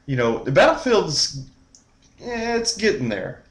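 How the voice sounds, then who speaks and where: A man's voice: high-pitched, wildly swooping pitch, a little slow, reverberant. A man with a Texan accent co-hosting a casual gaming chat, joking and telling anecdotes. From Texas. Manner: laid-back, joking, tells anecdotes with quoted dialogue. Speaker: gaming podcast co-host.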